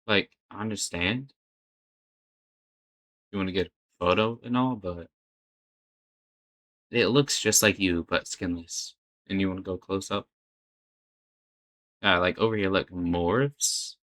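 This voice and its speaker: A man's voice: deep voice, takes it slowly, pauses often, a bit bright. A man hosting a reaction video, talking casually in a hesitant, stammering, uneasy way.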